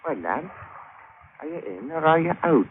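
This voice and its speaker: A man's voice: on the high side, big pitch swings, normal speed. An actor playing a seasoned, salty seaman with dry colloquial talk in an old-time radio drama. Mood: steady, commanding. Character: seasoned salty male seaman, leader of treasure hunt. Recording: noisy, tinny old radio recording.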